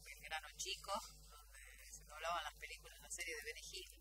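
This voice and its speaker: Lively Rioplatense woman, chatty radio guest. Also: low voice, a little fast, music in the background. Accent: Rioplatense, Buenos Aires. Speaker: guest, voice actress and announcer. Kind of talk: casual radio interview. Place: small radio studio. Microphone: studio microphone.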